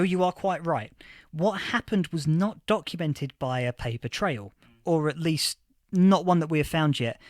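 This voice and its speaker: An actor playing a history professor from a post-apocalyptic future in a fiction podcast interview, explaining things with emphatic lecturing. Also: male voice, a little high, no echo, studio quality, natural voice. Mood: earnest, scholarly.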